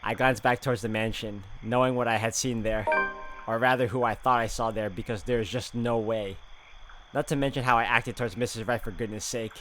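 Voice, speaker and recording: A man's voice: medium pitch, fairly even intonation, fairly quick, over music, rough recording. A man playing through a visual novel, reading its narration aloud dramatically and adding casual asides. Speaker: game walkthrough player.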